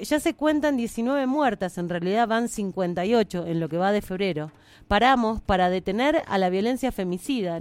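A woman's voice: medium pitch, a little mellow. A woman, an activist reading a protest manifesto aloud in an earnest, declamatory way. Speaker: feminist activist.

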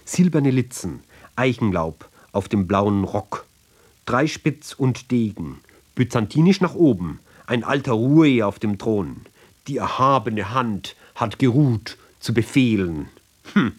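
A man reads a literary text for radio at a very slow pace, in a mid-pitched voice. He sets out short, clipped phrases one by one in a declamatory way, with a pointed, sardonic edge. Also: slightly rough.

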